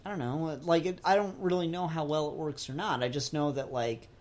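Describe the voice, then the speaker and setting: A man's voice: a little high, steady tone, fairly quick. Man chatting casually on a podcast. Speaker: podcast co-host. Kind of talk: casual discussion of comics and TV.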